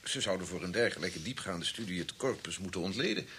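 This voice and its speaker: Creaky, sharp-voiced fussy professor. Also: male voice, low voice, lively intonation. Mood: musing, formal. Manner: formal, fussy, halting. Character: pedantic, self-important male professor. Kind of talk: old Dutch radio drama acting. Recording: hissy old radio recording.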